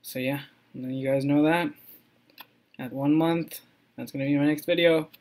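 A man's voice: a little high, normal speed. Young guy vlogging over his screen, rambly and hyped, lots of restarts. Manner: rambling, excitable, many ums and restarts. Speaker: crypto mining vlogger. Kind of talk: casual screen-recording update.

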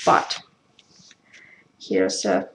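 A woman from Finland with a Finnish accent, giving a casual craft tutorial in halting, self-deprecating English with a creaky voice. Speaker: craft demonstrator. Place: indoors at home. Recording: noisy live stream with clicks.

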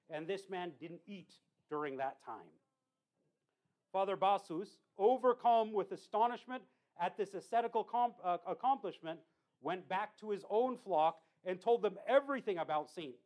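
A deacon preaches in a small icon-lined church, his voice sitting fairly high for a man. He tells the story earnestly, slipping in small personal asides and correcting himself as he goes. The sound is picked up by a camera at the back of the room. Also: lively intonation, moderate pace, darkish tone. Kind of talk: homily retelling a saint's life.

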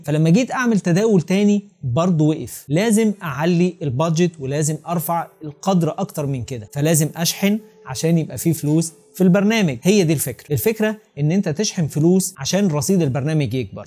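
Very fast-talking Egyptian man. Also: a little high, dark tone. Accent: Egyptian Arabic. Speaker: YouTube channel host. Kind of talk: explanatory talk to camera. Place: small home room with curtains and desk, indoors. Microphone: clip-on lapel mic.

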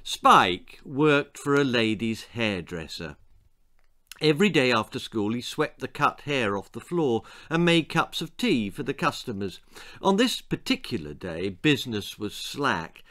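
A man in his sixties reading a children's story aloud with dramatic, expressive narration, savouring the words. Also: medium pitch, measured pace. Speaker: author reading own stories. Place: small book-lined room indoors.

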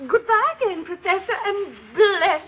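An actress playing a refined, credulous and anxious wealthy aunt in a 1940s radio drama, fluttery and emotional. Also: female voice, extremely high pitch, unhurried. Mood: earnest, grateful. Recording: muffled old 1940s radio recording.

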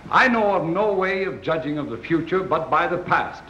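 A man's voice: on the high side, animated, moderate pace, pushed and bright, slight background noise. An actor playing a solemn, impassioned colonial statesman in a formal, declamatory 1930s film style. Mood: grave. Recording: dull 1930s film soundtrack, roomy.

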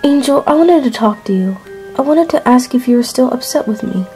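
A woman voicing a gentle, worried mother who keeps asking questions in a soft, coaxing way, in a toy-figure drama. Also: high voice, lively intonation, a little fast, faint music behind. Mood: concerned.

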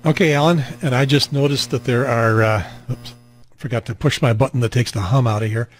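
An American man in his sixties hosting a radio net in casual chat, rambling and halting, on a close mic in a small home room. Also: medium pitch, steady tone, a little hiss or hum. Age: 60s. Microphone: close boom studio mic, headset on.

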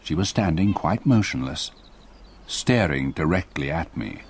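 An actor playing an older English solicitor, gravely narrating a haunting memory in a radio play. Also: male voice, a bass voice, lively intonation, full character voice. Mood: haunted, dread. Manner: grave, measured storytelling. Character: haunted old English solicitor recalling past terror.

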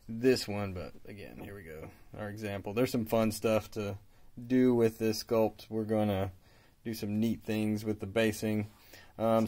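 Guy in his 30s, bit hoarse from a cold, lowish voice, easygoing painting walkthrough at his hobby bench. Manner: relaxed, hesitant with fillers, explains while working. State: slightly raspy from a cold. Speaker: miniature painting instructor. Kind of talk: casual painting tutorial. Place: hobby shop workbench indoors.

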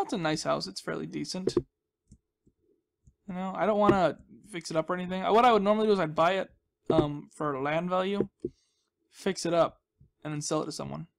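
A man's voice: fairly high pitch, vocal fry. A young man giving casual, rambling commentary as he hosts a gaming video, with a goofy, self-deprecating, mock-dramatic manner.

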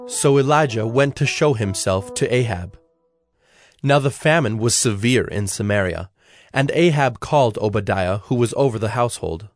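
A man narrating scripture from a script in a measured, solemn way. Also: mid-range voice, expressive. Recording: music under the voice.

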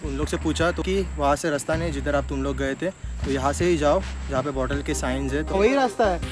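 Young man, slightly high voice, chatty trail vlog, phone camera outdoors, music underneath. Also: fairly quick. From Maharashtra, India. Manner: chatty, addresses viewers directly while walking a trail. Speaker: travel vlogger. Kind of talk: casual vlog narration to camera. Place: outdoor forest trail near a waterfall. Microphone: phone camera held while walking. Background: background music.

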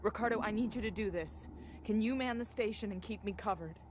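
A woman's voice: medium pitch, a little fast, a little mellow, a little hiss or hum, lightly acted. A woman playing a determined, commanding survivor in a video game, speaking in a terse, urgent way. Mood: firm, urgent.

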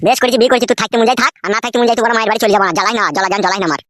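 A man playing an exasperated, crude village husband in a comic cartoon, bickering dismissively in a pitched-up voice. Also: rapid-fire, a bit bright. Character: exasperated, crude village husband caught between two wives.

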